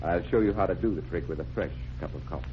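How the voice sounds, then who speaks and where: An actor playing a confident stage magician turned detective in 1940s radio style, theatrical, deliberate and instructing. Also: male voice, deep voice, close to an everyday voice. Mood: showmanlike. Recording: dull, noisy 1940s radio recording.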